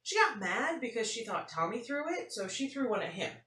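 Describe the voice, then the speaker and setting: A woman's voice: on the high side, big pitch swings, fairly quick, pushed and bright, voice pushed up. A woman voicing a nonchalant, matter-of-fact schoolgirl telling her tale in a picture-book read-aloud. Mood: matter-of-fact, animated. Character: nonchalant schoolgirl recounting an unbelievable farm trip. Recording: roomy, faint noise, distant mic.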